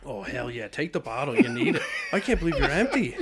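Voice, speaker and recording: A man hosting a podcast in a studio, relaxed and jokey as he chats with his guests. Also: medium pitch, brisk, noisy background. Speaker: podcast host. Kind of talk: casual podcast chat. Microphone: studio mic.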